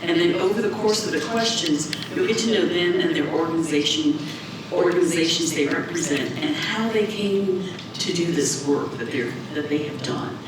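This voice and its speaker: Moderator in echoey, noisy hall. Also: female voice, lowish voice. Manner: warm-up, slow, repeats herself. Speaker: panel moderator. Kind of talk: moderating a panel. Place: conference hall. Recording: echoey hall, distant, background noise.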